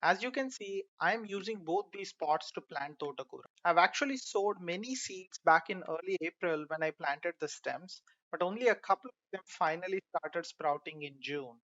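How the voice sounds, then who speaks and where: A man's voice: high-pitched, animated, moderate pace, few pauses, a bit bright, no echo, lo-fi sound. A man from India hosting his own gardening video, walking viewers through numbered tips in a friendly, instructive way. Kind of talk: narrated gardening tutorial.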